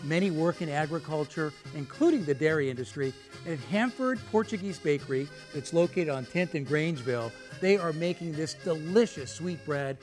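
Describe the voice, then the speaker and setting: A man hosts a food show with a slightly raised pitch. He gushes over every dish, full of effusive praise. Music plays clearly behind his voice. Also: animated, brisk, few pauses, poor audio. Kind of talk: enthusiastic food show hosting.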